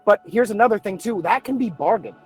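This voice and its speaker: A man's voice: high-pitched, lively intonation, fairly quick, faint music behind. A man talking casually as a tabletop game player, reasoning aloud in a conversational way. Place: online group voice call, livestreamed game.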